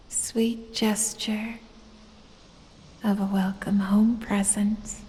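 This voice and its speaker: A woman voicing a sinister lamia, a predatory snake-woman, in breathy whispers with a falsely sweet, possessive menace for an ASMR audio roleplay. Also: medium pitch. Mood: coaxing, possessive. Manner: drawn-out, softly taunting, falsely sweet, possessive. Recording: long cavernous reverb.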